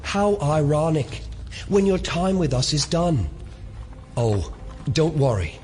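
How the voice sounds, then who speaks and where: An actor playing a menacing conspiracy leader in an audio drama, measured and coldly courteous as he speaks with deliberate weight. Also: a little high, sing-song, a little mellow, a little hiss or hum. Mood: cold, commanding. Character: menacing male elder leading a secret conspiracy.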